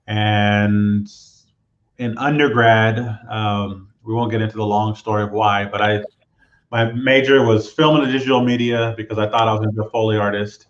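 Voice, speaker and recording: A man from Southern California, a guest telling his background in a relaxed, roundabout way. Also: fairly low pitch, normal speed, echoey room, muffled recording. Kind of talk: casual interview storytelling.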